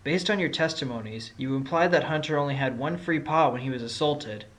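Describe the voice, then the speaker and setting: A man's voice: fairly high pitch, a little fast, a bit bright, lightly acted. A man playing a scrappy, determined fox defense attorney in a courtroom audio drama, making his points in a pointed, argumentative way. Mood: confident, pressing. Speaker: voice actor in fan audio drama. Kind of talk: courtroom drama acting with inner monologue. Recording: music clearly under the voice.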